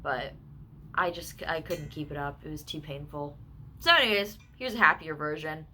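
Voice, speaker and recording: A woman in her twenties telling a personal story in a casual, candid vlog, full of quick asides and self-corrections. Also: deep voice, bright, forceful tone, slight background noise. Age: 20s. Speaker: vlogger.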